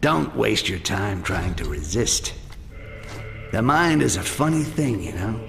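An actor playing a sly, menacing, foul-mouthed goblin assassin in a video game, with a gravelly voice and a sardonic, muttering, snarling delivery. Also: male voice, lowish voice, big pitch swings, a little slow, fairly bright tone, big-room sound, soft background music, heavily acted. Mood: quietly menacing. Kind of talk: video game character acting.

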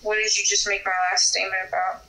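Female chat guest, bored and blunt delivery, strikingly bright tone. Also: mid-range voice, little pitch movement, fast talker, creaky, low-quality recording. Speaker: online video chat guest. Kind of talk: casual chat. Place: dim room indoors, over video call. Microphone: phone on video call.

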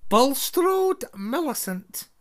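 A man voicing a strict older woman professor in a high, clipped voice. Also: very animated, slow pace, exaggerated voice. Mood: calling out. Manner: crisp, clipped, raised falsetto calling.

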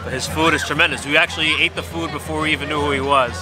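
A man's voice: on the high side, steady tone, racing. A man in his thirties hosting a casual food vlog, talking to the camera in short, enthusiastic, appraising remarks. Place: outdoor night market. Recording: loud crowd and music behind. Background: busy crowd, music.

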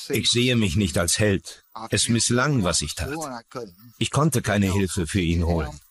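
A shaken young deckhand gives his account in a documentary dub. The voice is low, with faint chatter behind it. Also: expressive, a little slow. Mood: shaken, recounting. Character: shaken young deckhand recounting a sea disaster.

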